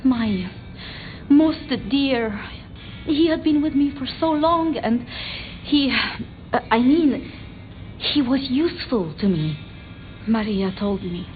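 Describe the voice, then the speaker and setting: A woman voicing a timid, bookish, deferential vampire scholar in video game dialogue, speaking haltingly and apologetically. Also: high-pitched, animated, phone-quality sound. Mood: halting grief. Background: background music.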